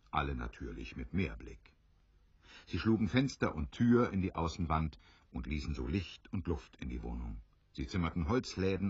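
A man with an extremely deep, smooth voice narrates an adventure audio drama. His measured storytelling moves expressively up and down, in a dry, slightly dull recording. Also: darkish tone, no echo.

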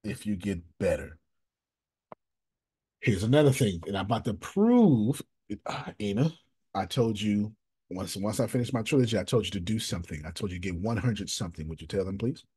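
A man hosting a casual coaching call over video, animated and emphatic, with slangy, repetitive phrasing. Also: on the low side, fairly quick, slightly rough. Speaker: business coach and host.

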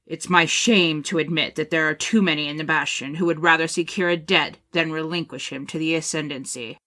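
Female colonel, played by a woman: sharp, lowish voice, formal, authoritative, honourable. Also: steady tone, a little fast. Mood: earnest, urgent. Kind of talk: audio drama acting.

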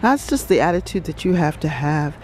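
A woman hosting a relaxed garden tour outdoors, talking reflectively with many pauses and ums. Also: low-pitched, slight background noise, rough recording. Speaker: garden channel host. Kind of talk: casual garden tour vlog.

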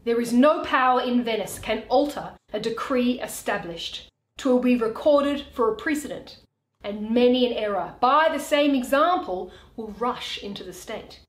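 A woman in her thirties playing an earnest young male lawyer, deliberate and persuasive, in a Shakespeare reading. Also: on the high side, close to an everyday voice. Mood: firm, solemn. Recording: echoey bare room.

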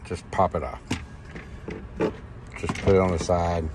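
Low-voiced American man over loud vehicle noise. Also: fairly even intonation, measured pace. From United States. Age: middle-aged. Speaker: trucker and vlog host. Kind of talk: casual how-to vlog. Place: inside a parked semi truck cab. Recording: noisy truck cab, loud vehicle ambience.

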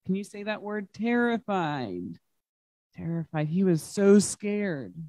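A man reads a children's story aloud at an unhurried pace. His phrasing is simple and emphatic, made for young listeners, and the sound is dry with little room echo. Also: high-pitched, sing-song, warm tone. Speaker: guest reader at children's story time. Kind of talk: expressive picture-book read-aloud to children. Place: large glass-walled library room.

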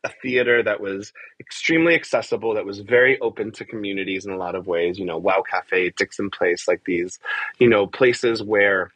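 A man's voice: fairly low pitch, moderate pace, a bit bright, dry recording, poor audio. A middle-aged man from the US, born in Argentina, answering conversationally in an interview, often repeating words and checking in with "right?". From born in Argentina, grew up in the US from age four. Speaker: theater composer, music director and adapter. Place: small bare room, indoors, video call.

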